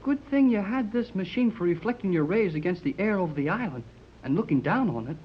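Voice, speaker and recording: An eager, loyal teenage boy adventurer as acted for radio talks with slangy enthusiasm. His pitch leaps about in a lively way. It is a 1930s radio drama recording, dull and faintly noisy. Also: fairly quick, close to an everyday voice. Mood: earnest.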